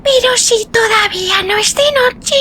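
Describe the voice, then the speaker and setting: A man voicing a chatty, innocent little girl with a thin, clear voice and earnest questions, in an audiobook story. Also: very high voice, moderate pace, sharp tone, a little hiss or hum, pitched above the performer's own voice. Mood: guileless insistence.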